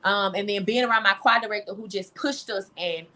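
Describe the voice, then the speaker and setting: A woman's voice: medium pitch, a little fast, bright, forceful tone, slight background noise. A woman who is a singer, speaking informally and expressively as an interview guest, often repeating phrases for emphasis. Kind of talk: candid, conversational interview.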